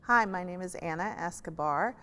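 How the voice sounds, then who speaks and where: Low-voiced woman in a reverberant hall. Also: a bit bright, slight background noise. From Colombia. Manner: measured, with frequent "um"s. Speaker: guest, judge. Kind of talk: conversational discussion. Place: large library reading room. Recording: echoey library reading room.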